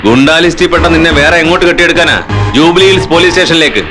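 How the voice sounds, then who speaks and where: A man's voice: on the high side, racing, over music, close to an everyday voice. A man playing a cocky young hero in a dubbed film, talking in a mocking, playful way. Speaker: hero posing as police. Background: loud film score.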